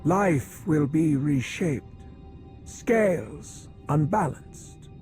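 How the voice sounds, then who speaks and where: A man's voice: a little high, animated, drawn-out words, darkish tone, faint music behind, exaggerated voice. An actor playing a grim male alien seer in a video game, terse and portentous. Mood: grim foreboding. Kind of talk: video game cutscene acting.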